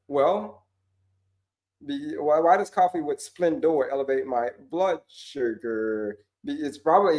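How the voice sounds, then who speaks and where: Emphatic man answering viewer questions. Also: fairly high pitch. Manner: repetitive, emphatic, slangy, addresses viewers as folks. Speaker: diabetes wellness coach. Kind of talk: casual viewer Q&A.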